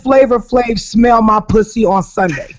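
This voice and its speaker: A woman from Jacksonville, Florida with a raspy voice, telling profane stories in casual chat as a comedian guest, often laughing loudly. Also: on the high side, a little slow, soft background music. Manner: brash, profane storytelling with loud laughter. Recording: dull lo-fi podcast audio.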